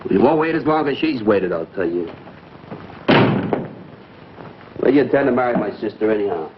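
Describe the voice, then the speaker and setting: An actor playing a needling, sarcastic, whiny brother-in-law in a theatrical 1950s broadcast comedy style. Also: male voice, on the low side, expressive, fast, close to an everyday voice. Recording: muffled, noisy 1950s broadcast recording.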